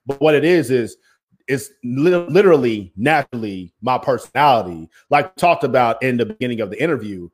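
Deep-voiced blunt-talking man. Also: expressive, fairly quick, breathy edge. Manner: blunt, emphatic, confrontational. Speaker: music industry guest, former basketball coach. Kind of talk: interview conversation.

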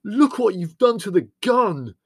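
A man's voice: medium pitch, moderate pace, darkish tone, no room sound, studio quality, voice pushed up, close to an everyday voice. A man voicing a blunt, hot-tempered, scolding young man in an audiobook story. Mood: furious shouting. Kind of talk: audiobook character voice.

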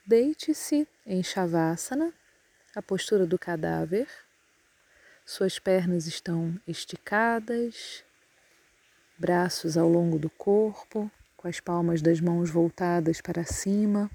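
A woman with a Brazilian Portuguese accent leads a guided yoga nidra meditation, giving calm, soothing instructions with long pauses. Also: on the low side, no room sound.

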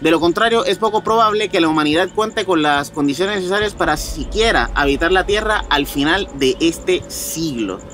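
A man's voice: a little high, expressive, normal speed, few pauses, fairly bright tone, slight background noise. A man hosting a podcast, reading reports aloud and chatting informally with frequent question tags. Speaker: podcast host.

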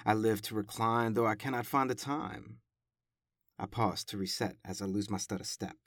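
A male podcast host talking casually, then reading his spoken-word poem in a calm, reflective, rhythmic way. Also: mid-range voice, no room sound, studio quality.

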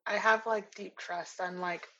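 A woman's voice: mid-range voice, steady tone, a little slow, fairly bright tone. A woman hosting a podcast, chatting in a friendly, easy way. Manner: friendly, chatty, uses y'all. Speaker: podcast host. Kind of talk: casual interview chat. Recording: dull lo-fi sound.

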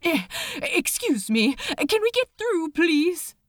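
A woman acts out a gentle, fussy male angel for an audiobook story. The voice sits high and moves up and down in a lively way. It is courteous, earnest and a little hesitant. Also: slow, dry recording, clean studio sound, exaggerated voice. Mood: politely urgent. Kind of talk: audiobook character voice.

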